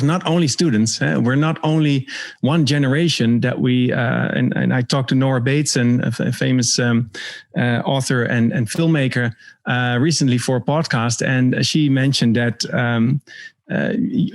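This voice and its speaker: A man's voice: mid-range voice, no echo. A man speaking as a panel guest, explaining ideas conversationally and tagging points with a questioning "eh". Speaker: panel guest, digital education school representative.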